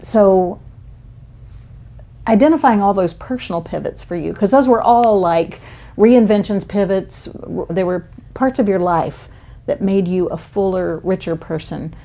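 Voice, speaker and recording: Host: woman, mellow dark tone with vocal fry, enthusiastic interviewer. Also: mid-range voice, measured pace. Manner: effusive, warm praise, frequent affirmations. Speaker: podcast host. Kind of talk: casual interview chat.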